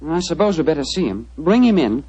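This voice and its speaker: An actor playing a haughty, irritable young English lord, in 1940s radio style. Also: male voice, a little high, big pitch swings, brisk, dark tone, a little hiss or hum. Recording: muffled, humming 1940s radio recording.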